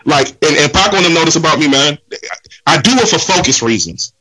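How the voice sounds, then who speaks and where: A man's voice: a little high, animated, fairly quick, crowd noise, low-quality recording. A man guesting on a casual podcast, a laid-back storyteller who keeps saying "real talk" and "man". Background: background music track.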